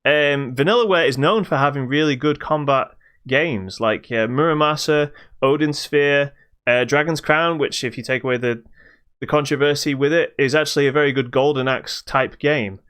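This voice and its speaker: A man co-hosting a podcast, reviewing games in casual chat with halting explanations that trail into dismissive asides. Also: fairly high pitch, fairly bright tone, clear, resonant tone. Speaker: podcast co-host.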